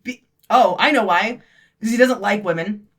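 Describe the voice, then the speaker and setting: A woman in her thirties co-hosting a podcast, chatting in a blunt, brash way with plenty of swearing and quick laughs. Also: mid-range voice, a bit bright. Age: 30s. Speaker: podcast co-host. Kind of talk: casual chat.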